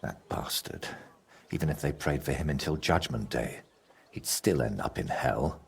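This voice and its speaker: An actor playing a troubled, scheming male painter in a video game, excitable and theatrical, with a husky voice. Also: very deep voice, normal speed, full character voice. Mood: bitter, brooding. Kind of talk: video game character dialogue.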